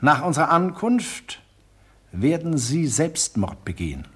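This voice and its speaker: An actor playing a smooth, coolly menacing art collector who murders, in a radio drama. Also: male voice, a little high, sing-song, a little slow, fairly bright tone, full character voice. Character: suave murderous male art collector, villain.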